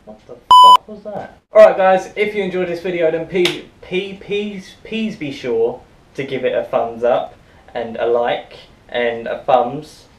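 A man's voice: a little high, fairly even intonation, a little slow, background noise. A young man vlogging casually from a small kitchen, giggly and self-mocking. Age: young adult. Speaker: comedy vlogger. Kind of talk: casual vlog. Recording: echoey kitchen.

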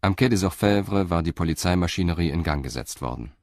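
A man narrating a scripted crime story as a radio drama narrator, in a sober, measured manner. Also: sits low, expressive, darkish tone.

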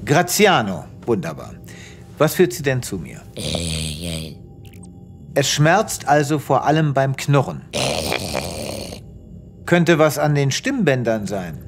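Pompous, animated space veterinarian. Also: male voice, mid-range voice, heavily acted. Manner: pompous, brusque, halting when flustered. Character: self-important, easily exasperated male space veterinarian. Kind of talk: comedic sci-fi audio drama acting.

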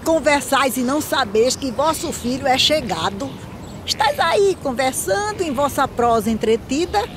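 An actress playing an urgent village news-bearer, calling out in drawn-out declaimed verse for an animated drama. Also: female voice, high voice, background noise. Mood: insistent, heralding. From Brazil. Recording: faint outdoor ambience behind the voice.

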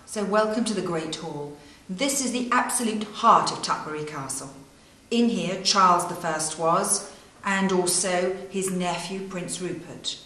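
A woman's voice: lowish voice, unhurried, pushed and bright, recorded from a distance. Earnest woman guide in an echoing hall. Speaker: castle guide. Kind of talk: guided tour talk to camera. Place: castle great hall, indoors.

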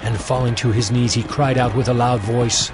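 A man's voice: mid-range voice, flat delivery, normal speed. A man giving scripted narration for a dramatized scripture audio production. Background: music.

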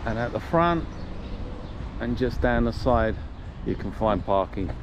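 A man giving a relaxed, chatty walking tour of an outdoor shopping plaza, talking while he walks with his camera. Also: mid-range voice, measured pace. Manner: relaxed, chatty, pointing things out. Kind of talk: casual walking tour narration. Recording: noisy outdoor street recording.

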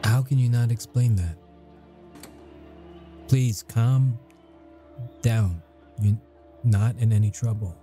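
An actor playing a grumpy but caring military intelligence interrogator in an ASMR audio roleplay, speaking in measured, halting phrases. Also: male voice, medium pitch, dark tone, faint music behind, close to an everyday voice. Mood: firm, frustrated. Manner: measured, halting, deliberate delivery.

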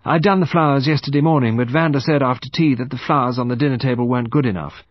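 Man voicing a brisk young woman, pitched high. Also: no room sound, highs cut off, lightly acted. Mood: brisk, casual. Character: brisk, defensive young upper-class married woman. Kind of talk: audiobook character voice.

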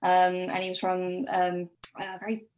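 A woman's voice: fairly low pitch, monotone, unhurried. A woman speaking as a podcast guest, explaining things informally and tentatively, piecing facts together aloud.

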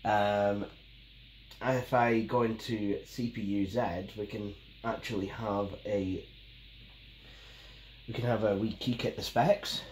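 A Scottish man in his thirties, nasal and hoarse from a cold, chatting casually as a tech host, rambling with many ums. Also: on the low side, steady tone, slow pace, pauses often. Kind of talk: casual unboxing and review. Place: small home office, indoors. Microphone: camera mic at the desk. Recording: roomy, faintly noisy home recording.

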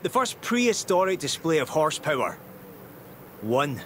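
Chill british tour guide in a game, wry and chatty, highish voice, lots of ambient car noise behind. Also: male voice, sing-song, normal speed, fairly bright tone. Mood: relaxed, wry. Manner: conversational, wry. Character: friendly, laid-back male outdoorsy local guide. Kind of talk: scripted casual narration.

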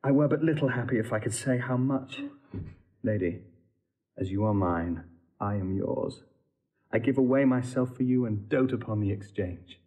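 A man acting an earnest young count in a Shakespeare audio play. He is reserved and formal, with a medium-pitched voice. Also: moderate pace, warm tone, close to an everyday voice, rough recording. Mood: tender. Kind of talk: classical Shakespeare stage acting.

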